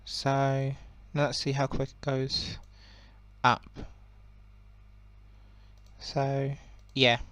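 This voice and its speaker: A young man with a croaky voice giving relaxed, rambling commentary on a strategy game, with many ums and self-corrections. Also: mid-range voice, drawn-out words, pauses often, dry recording. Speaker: gaming channel host.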